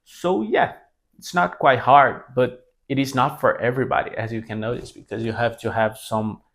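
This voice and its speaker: A man in his twenties from Brazil with a Brazilian Portuguese accent and Portuguese as his first language, talking to camera in a casual, halting way, often restarting his sentences. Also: medium pitch, gravelly, fairly bright tone. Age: 20s. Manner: halting, many restarts and self-corrections. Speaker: language mentor.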